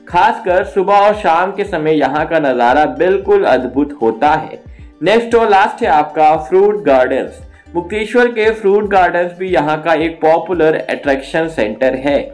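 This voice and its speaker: A man narrating a travel guide video as its host, with a steady, inviting tour-guide delivery. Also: fairly high pitch. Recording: faint background music.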